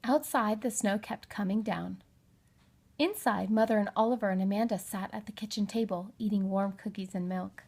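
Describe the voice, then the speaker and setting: A woman narrating a children's storybook in an expressive read-aloud style. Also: medium pitch, moderate pace, gravelly, breathy edge. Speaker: storybook reader.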